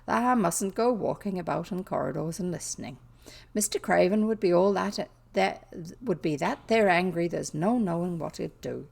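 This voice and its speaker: A woman's voice: on the low side, normal speed, creaky, darkish tone. A woman voicing a blunt, flustered young housemaid in a lilting rustic dialect for a storybook. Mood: uneasy, warning.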